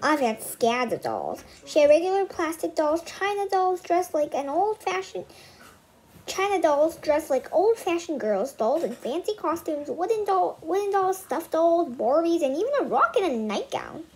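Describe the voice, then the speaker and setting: A woman's voice: very high voice, very animated, moderate pace, darkish tone, rough recording. A young girl reading a children's book aloud with casual asides, often stumbling and repeating phrases. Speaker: read-aloud host.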